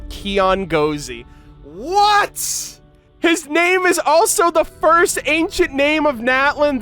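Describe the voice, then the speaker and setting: A man giving excitable, slangy reaction commentary as a gaming creator, mixing in read-aloud game lore. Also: sits high. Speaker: gaming content creator, host.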